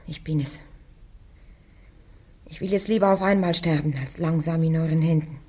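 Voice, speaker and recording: A woman's voice: low-pitched, moderate pace, warm tone. An actress playing a stubborn, plain-spoken young woman prisoner on trial in a German radio play. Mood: defiant.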